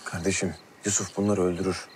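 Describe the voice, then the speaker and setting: Man acting young guy, earnest and restless, rapid speech, lowish voice, music under it. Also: flat delivery, a little hiss or hum, lightly acted. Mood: urging. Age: young adult. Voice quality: fast, slangy speech. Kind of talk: TV series acting. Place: outdoors at night, town square. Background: score music.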